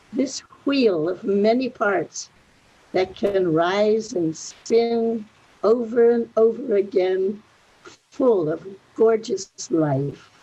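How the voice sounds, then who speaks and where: A woman reciting a poem deliberately, pausing between short phrases, on a muffled recording. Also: medium pitch, dark tone, breathy edge. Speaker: poetry reader. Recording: dull, muffled lo-fi sound.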